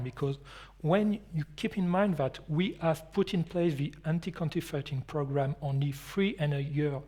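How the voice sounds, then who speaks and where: A man's voice: fairly high pitch, darkish tone. A man giving a conference presentation at an industry expo and answering audience questions, with non-native phrasing and frequent restarts. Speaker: company anti-counterfeiting presenter.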